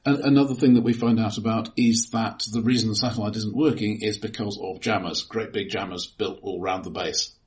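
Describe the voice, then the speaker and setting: A man with a fairly low voice talks through a film as a podcast co-host. His delivery is casual but analytical, with a halting, hesitant way of building each point. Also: fairly even intonation, a little mellow.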